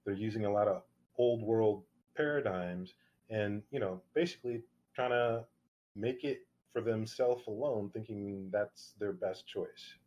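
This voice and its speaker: A man's voice: lowish voice, a little slow, darkish tone, dull audio. A man at a governance workshop, talking at length in a rambling way, with restarts and fillers. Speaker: workshop participant. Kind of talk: casual panel discussion.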